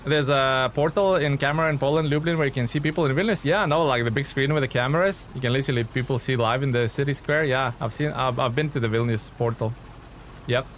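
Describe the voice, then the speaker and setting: A man from Lithuania, a game streamer chatting casually with viewers in a relaxed, rambling way. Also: mid-range voice, fast talker, a little hiss or hum. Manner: relaxed, chatty, many fillers and restarts. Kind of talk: casual live-stream chat reading viewer comments.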